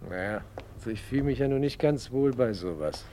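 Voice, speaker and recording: A man's voice: mid-range voice, animated, fairly quick, warm tone, a little hiss or hum, lightly acted. An actor playing an uneasy, colloquial, somewhat hesitant policeman in a German radio play. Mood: casual, uneasy. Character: uneasy, chatty local policeman.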